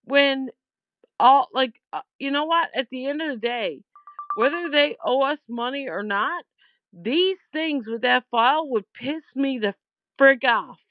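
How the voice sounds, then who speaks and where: A woman's voice: high voice, expressive, a little slow, no room sound. A woman leaving an informal complaint voicemail over the phone, speaking in a blunt, aggrieved and emphatic way, with dull lo-fi sound. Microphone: phone call.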